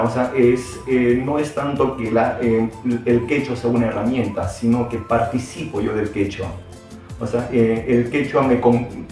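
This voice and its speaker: Male teacher interviewee, halting and reflective, distant mic, roomy echo. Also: medium pitch, warm tone. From Peru. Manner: reflective, hesitant with many fillers and restarts. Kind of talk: interview answers. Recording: very echoey room, far from mic, music behind.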